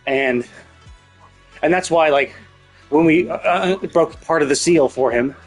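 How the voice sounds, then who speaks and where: A man's voice: on the high side, unhurried, soft background music, rough recording. A man livestreaming a game, thinking aloud in a casual, rambling, hesitant way with some swearing. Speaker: live game streamer.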